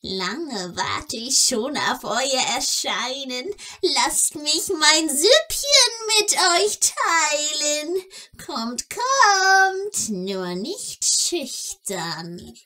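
A woman's voice: high-pitched, very animated, drawn-out words, bright, forceful tone, full character voice. A woman playing a welcoming, sly forest witch in a children's radio play, in a theatrical sing-song manner. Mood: inviting.

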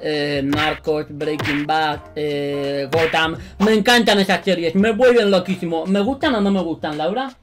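A young man in his twenties with a Spanish accent from Spain, giving excited, slangy gameplay commentary in a bedroom studio. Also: fairly high pitch, unhurried, hardly any pauses, faint music behind. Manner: animated, slangy, repeats himself. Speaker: gaming YouTuber.

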